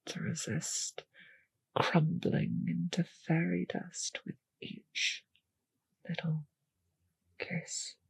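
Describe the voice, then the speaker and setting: A seductive female vampire mistress, acted out by a woman speaks in a very husky, breathy voice that often drops to a whisper. She coaxes and commands with hypnotic, possessive teasing. It is an intimate ASMR roleplay, close on the mic and very dry, with a slow purr. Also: low-pitched, no room sound, heavily acted, low-quality recording. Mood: soothing, hypnotic. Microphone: close microphone, dry.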